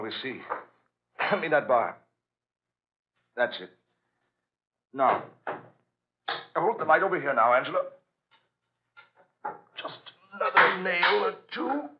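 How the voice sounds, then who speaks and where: Grave, halting radio-horror hero, husky. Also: male voice, mid-range voice, animated. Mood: tense. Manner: grave, dramatic, halting delivery. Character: tense, resolute husband uncovering a werewolf horror. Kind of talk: 1940s radio acting. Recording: muffled, lo-fi old radio recording.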